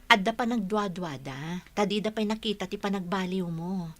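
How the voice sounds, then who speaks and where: A woman's voice: mid-range voice, normal speed, fairly bright tone, natural voice. A woman playing a sensible, reassuring older sister in a radio drama, with an Ilocano accent.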